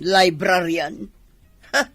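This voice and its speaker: Sharp, scornful, slow-talking mother. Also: on the low side, steady tone, no echo, clean studio sound. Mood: incredulous, scornful. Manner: scornful, incredulous. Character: disapproving, suspicious middle-aged mother of a grown son. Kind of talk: radio drama acting.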